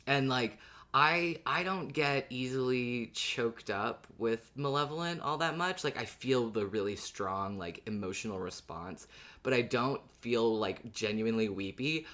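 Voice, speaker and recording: A man's voice: medium pitch, a little slow, bright, forceful tone. A man co-hosting a podcast, chatting and recapping in a casual style. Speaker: podcast host.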